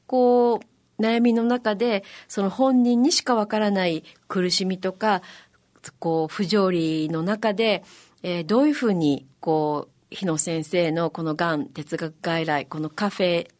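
Slightly low-voiced, hesitant woman guest. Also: fairly even intonation, darkish tone. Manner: polite, hesitant, pausing on filler words. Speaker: guest, amateur musical performer. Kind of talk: interview, explaining.

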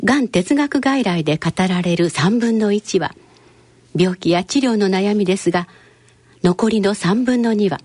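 A woman narrating a scripted radio drama in a measured, explanatory manner. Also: lowish voice, a little mellow, slightly rough, no room sound. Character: female narrator explaining a clinic scene.

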